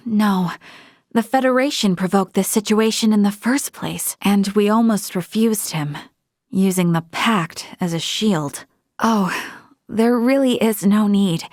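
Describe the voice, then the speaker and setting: A woman's voice: medium pitch, no echo, clean studio sound, close to an everyday voice. A woman playing an earnest, polite young female space officer in a video game, speaking in a formal, thoughtful way. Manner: measured, formal, thoughtful delivery. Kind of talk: scripted video game voice acting.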